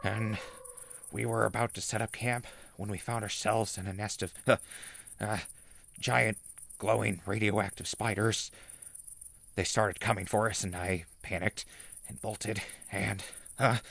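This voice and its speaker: Man acting a jittery, gravelly wasteland radio host. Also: fairly low pitch, a bit bright, dry recording, full character voice. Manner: rambling, dramatic, with hesitant pauses. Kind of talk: audio drama acting as recorded diary.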